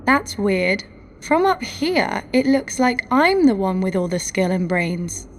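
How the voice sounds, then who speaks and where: An actress in an audio drama playing a cocky, foul-mouthed adventurer, mocking and sarcastic. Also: female voice, mid-range voice, sing-song, takes it slowly, very noisy.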